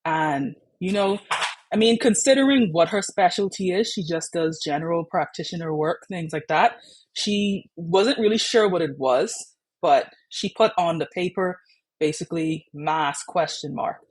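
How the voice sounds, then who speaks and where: A woman's voice: lowish voice, unhurried, slightly rough. A young woman from the Bahamas, candidly telling her own patient story in a chatty way. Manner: chatty, frequent "like" and "you know" fillers.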